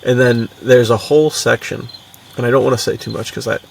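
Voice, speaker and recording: A man with a gravelly, creaky voice, talking as a podcast co-host in a casual, enthusiastic, slangy way. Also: medium pitch, fairly even intonation, fairly quick, recorded from a distance, a little hiss or hum, rough recording.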